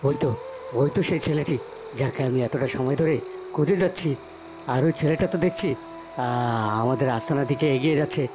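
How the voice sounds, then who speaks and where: A man's voice: medium pitch, moderate pace, long pauses, background noise, natural voice. A man voicing a menacing forest henchman in a fairy tale cartoon, muttering to himself as he hunts. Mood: watchful, menacing.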